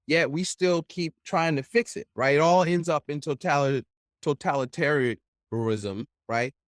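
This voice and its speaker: A man's voice: on the high side, unhurried, no echo, studio quality. A man hosting a podcast interview, chatting casually in a rambling, opinionated way and often tagging his points with "right?". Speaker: podcast host.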